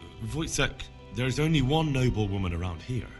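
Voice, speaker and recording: A man's voice: mid-range voice, animated, moderate pace, lightly acted. A man playing an earnest, plucky young refugee in a medieval video game, plain-spoken and direct. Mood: questioning. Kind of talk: dramatic video game dialogue. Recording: music behind the voice.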